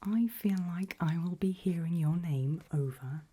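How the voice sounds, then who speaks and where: A woman in an ASMR roleplay voicing a polite, quietly menacing HR interviewer in a breathy, deliberate whisper. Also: on the low side. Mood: calm, veiled menace. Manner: slow, deliberate, softly spoken.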